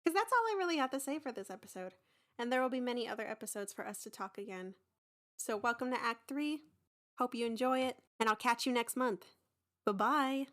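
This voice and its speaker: A young woman hosting her own podcast, talking casually and excitedly in a rambling, informal way. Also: on the high side, fairly quick. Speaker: podcast creator and host. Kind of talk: casual, chatty podcast announcement.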